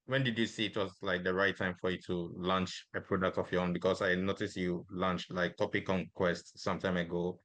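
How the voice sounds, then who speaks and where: A male podcast host in casual chat, soft-spoken and quick with short agreements. His voice is low, and the recording is dry with no room sound. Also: little pitch movement, dry recording.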